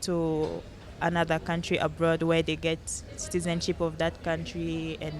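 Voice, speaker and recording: A young woman from Cameroon, a podcast guest in casual chat, bubbly and quick to laugh. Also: low voice, completely flat delivery.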